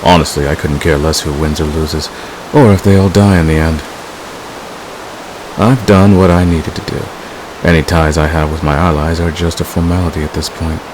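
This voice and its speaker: Over steady hissing, stream-like outdoor noise comes a man acting as a fantasy villain with an extremely low voice. He is smug and seductive, murmuring to a secret lover in unhurried, teasing ASMR roleplay. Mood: cool, detached. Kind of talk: romantic audio roleplay, intimate ASMR acting.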